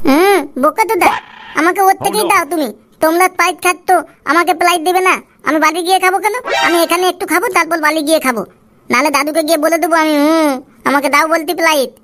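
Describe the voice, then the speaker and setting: Woman doing a whiny stubborn little cartoon boy, high voice, talks fast, noisy recording. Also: steady tone, fairly bright tone. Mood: demanding, whiny. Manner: whiny, insistent, childish repetition. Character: nagging, stubborn little boy demanding a soft drink. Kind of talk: comic cartoon dialogue. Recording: clear background noise.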